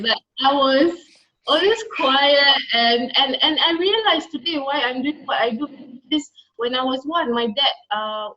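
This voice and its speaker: A woman's voice: high voice, bright, forceful tone, muffled recording. A Singaporean woman being interviewed, talking conversationally and motivationally in an emphatic way that repeats key phrases. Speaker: interview guest, coach.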